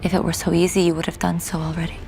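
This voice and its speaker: A woman's voice: lowish voice, flat delivery, racing, gravelly. An actress playing a neglected, bold young noblewoman desperate to escape, in an audio drama with music underneath. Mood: bold, persuasive. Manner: pointed, determined.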